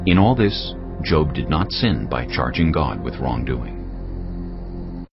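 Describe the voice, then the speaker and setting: A man narrating scripture in a grave, measured way. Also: very deep voice, animated. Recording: dull lo-fi recording. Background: cinematic music.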